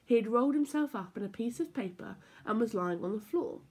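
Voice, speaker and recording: A woman reading a children's story aloud in an expressive, playful, emphatic way. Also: on the high side, brisk, breathy edge. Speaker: story time reader for children.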